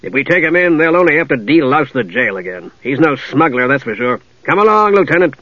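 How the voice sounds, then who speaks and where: A man voicing a brisk, authoritative captain of the guard, dismissive and weary, in a fantasy audiobook. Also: a little high, big pitch swings, fairly bright tone, dry recording, lo-fi sound, exaggerated voice. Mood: dismissive orders.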